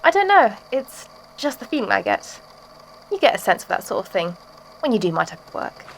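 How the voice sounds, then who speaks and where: A woman's voice: mid-range voice, sing-song, brisk, slight background noise, poor audio. A woman voicing a serenely macabre female sculptor in an audio drama, musing chattily with blithe menace. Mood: playfully menacing. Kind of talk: audio drama monologue, conversational.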